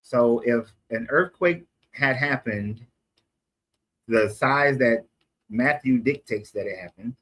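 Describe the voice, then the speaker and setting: A man's voice: mid-range voice, fairly bright tone, slightly rough, low-quality recording. A man from Atlanta debating on the street, explaining at length and correcting himself as he goes. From Atlanta, USA. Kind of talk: casual street conversation.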